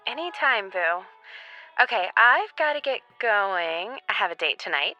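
A woman's voice: mid-range voice, lively intonation, a little slow, highs cut off, faint music behind, natural voice. An actress playing a sassy, affectionate woman friend with casual, slangy speech, in a naturalistic audio drama. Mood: playful, sassy.

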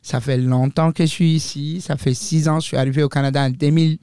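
A man's voice: on the high side, steady tone, brisk, dark tone, clear, resonant tone, dry recording, studio quality. A young man hosting a casual podcast chat in an enthusiastic, joking manner. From Mauritania. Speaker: podcast host.

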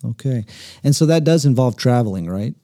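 A man hosting a casual interview in a cabin living room, speaking in a relaxed, rambling way with frequent repeated words. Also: medium pitch, fairly quick, no room sound, clean studio sound. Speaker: host. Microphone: table mic.

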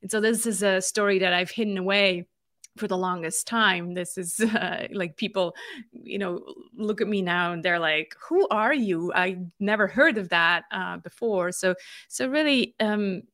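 A woman's voice: mid-range voice, a little slow, fairly bright tone, no room sound, clean studio sound. A woman in her forties from Switzerland, a marketing consultant telling her story as an interview guest, thoughtful and full of small restarts. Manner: reflective, many fillers and restarts. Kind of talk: conversational interview.

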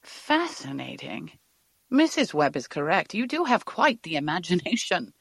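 A woman's voice: lowish voice, big pitch swings, moderate pace, creaky, husky, no echo, exaggerated voice. An actress playing a poised, persuasive woman scientist, formal and polished, in an audio drama. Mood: intrigued.

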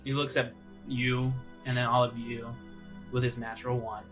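Man, game master, medium pitch, casual descriptive narration, halting delivery, clear music bed. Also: flat delivery, a bit bright. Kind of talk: improvised tabletop game narration.

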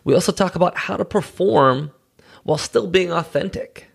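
A man's voice: high voice, moderate pace, vocal fry, husky. A man speaking as a podcast host, interviewing a guest in a conversational style.

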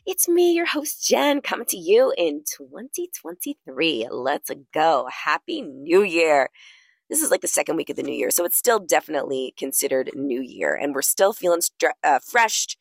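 A woman's voice: low voice, very animated, moderate pace, slightly rough, no room sound. A woman hosting a podcast while under the weather, giving an upbeat motivational self-help talk with a scratchy voice, speaking straight to her listeners. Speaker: podcast host.

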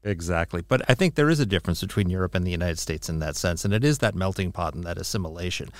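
A man with a lowish voice hosts a panel discussion, moving quickly and making his points assertively. The sound is dry and close. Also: animated, dry recording. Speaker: host.

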